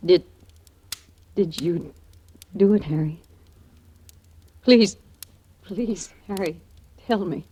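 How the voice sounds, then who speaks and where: An actress playing a desperate, guilt-ridden young wife who pleads in a breathy whisper in a 1940s radio drama. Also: female voice, fairly low pitch, extremely slow, long pauses, warm tone, heavily acted. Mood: pleading. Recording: old 1940s radio broadcast.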